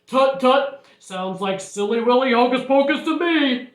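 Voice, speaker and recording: A jovial, fussy school principal from a storybook, voiced by a woman. He speaks emphatically and with bounce, in a voice that sits high. The sound is roomy and echoing. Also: expressive, measured pace. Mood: skeptical.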